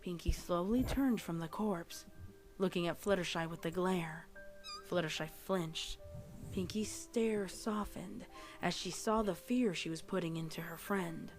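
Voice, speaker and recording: A woman's voice: fairly low pitch, a little slow. A woman reading scripted fan fiction narration, with music playing behind her.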